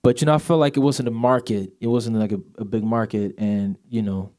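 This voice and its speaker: A man's voice: fairly low pitch, steady tone, fairly quick, mellow. A man in his twenties from Sweden, Swedish native speaker with a light accent, chatting casually as a singer guest, laid-back and full of "you know". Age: 20s. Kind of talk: casual interview chat.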